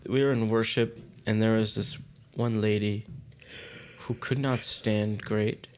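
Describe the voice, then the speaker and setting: A man's voice: fairly low pitch, steady tone, a little slow, a little mellow, clear, resonant tone. A man co-hosting a podcast, mostly chiming in with short remarks and playful asides. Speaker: podcast co-host. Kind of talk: casual podcast chat.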